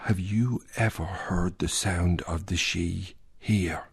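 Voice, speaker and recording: An actor playing an intense Irish piper in a radio drama, asking earnest, probing questions in a confiding tone. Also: male voice, low-pitched, slow, vocal fry, husky, no room sound, full character voice. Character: Captain Martin, older Irish piper, intense and secretive.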